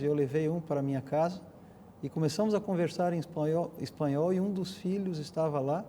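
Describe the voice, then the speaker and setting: A man's voice: on the high side, warm tone, slight background noise. A middle-aged or older man with a Brazilian accent, telling stories conversationally as a panel guest. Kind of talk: conversational storytelling in a discussion.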